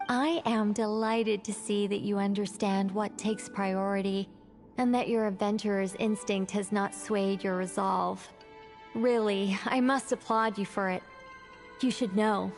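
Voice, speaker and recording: A woman's voice: mid-range voice, steady tone, a little slow, a little mellow, faint music behind, close to an everyday voice. Actress as a formal, scholarly, self-assured woman. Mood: composed, formal. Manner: formal, precise, explanatory. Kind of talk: video game voice acting.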